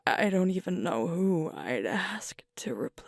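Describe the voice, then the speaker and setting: A woman playing a sweet, vulnerable alpha werewolf girlfriend in an intimate ASMR roleplay, speaking softly and hesitantly as she grieves. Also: fairly low pitch, very animated, dry recording, studio quality, full character voice. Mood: hurting, self-reproachful. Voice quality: soft, breathy, often whispered. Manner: slow, hesitant, confiding, with pauses.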